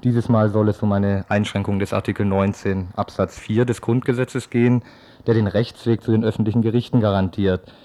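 Low, even-voiced male radio interviewer. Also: a little fast. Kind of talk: news interview questions.

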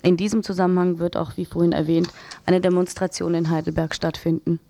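Mellow, lower-pitched woman presenting radio news. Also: flat delivery, brisk, gravelly. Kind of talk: read news and programme announcements.

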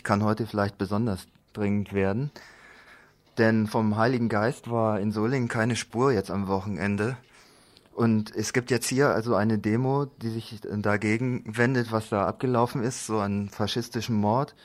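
A man hosting a radio news magazine, introducing it in a halting, loosely phrased, unscripted way. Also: on the low side.

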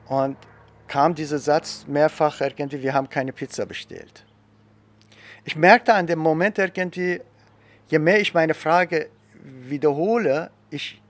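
A man recounting a personal experience in an interview, halting and repeating phrases as he goes. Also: on the high side, no echo. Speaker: education expert and trainer.

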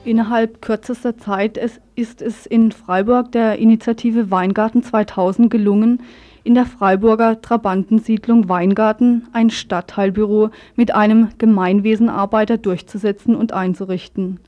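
A woman's voice: medium pitch, fairly even intonation, moderate pace, lo-fi sound. A woman presenting scripted local news on the radio as the programme's host.

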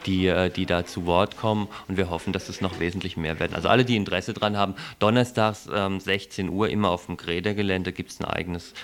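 Male radio editor, low voice, earnest, halting explanatory delivery. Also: a little hiss or hum. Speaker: radio editor presenting a programme. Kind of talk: explanatory studio talk. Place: radio studio.

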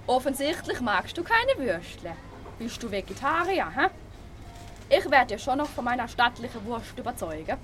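A woman's voice: fairly high pitch, very animated, normal speed, fairly bright tone, faint music behind. A woman playing a brash, wounded male would-be gangster rapper with a Swabian accent and pushy, slangy talk in a radio play. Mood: pushy, flirting. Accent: Swabian German.

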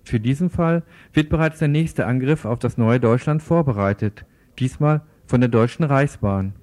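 A man's voice: mid-range voice, moderate pace, a little mellow. A man reading scripted radio news as presenter.

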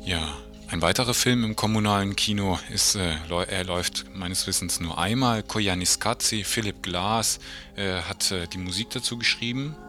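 A man co-presenting radio event announcements off the cuff, in a hesitant, halting way. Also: low voice. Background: music.

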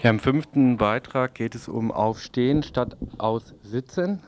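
A man reads the news as a radio presenter in a studio. His voice sits at an average male pitch, and he delivers announcements and reports as scripted items. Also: a little slow, darkish tone, a little hiss or hum. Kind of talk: news reading and programme announcements.

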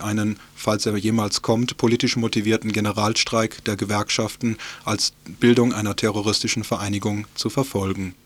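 A man presenting radio news from a script in a sober, matter-of-fact way. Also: lowish voice.

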